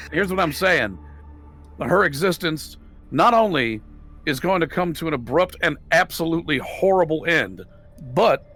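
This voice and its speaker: A man with a bright, forceful, slightly high voice talks as a player at a tabletop game. He pushes his points insistently and swears freely in casual speech. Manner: profane, insistent arguing. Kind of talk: casual in-character table chat.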